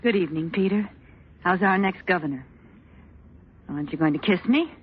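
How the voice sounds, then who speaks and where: An actress playing a ruthless, ambitious politician's wife, curt and commanding, in 1940s radio drama style. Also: female voice, fairly low pitch, expressive, moderate pace, lightly acted. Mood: brisk, scornful. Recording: dull vintage radio recording, faint hiss.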